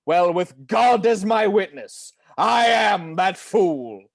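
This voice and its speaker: A man's voice: high voice, animated, slow pace, no room sound, clean studio sound, full character voice. A man playing a theatrical, devoted family patriarch with grandiose, declamatory delivery in a film script table read. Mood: solemn, grandiose.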